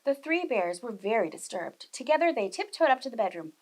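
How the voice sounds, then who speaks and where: Casting: female preschool storyteller, high voice, highly animated, speaking directly to young listeners. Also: a little fast. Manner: expressive, addresses young children with questions and explanations. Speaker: children's storyteller, preschool teacher. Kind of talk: animated storybook read-aloud.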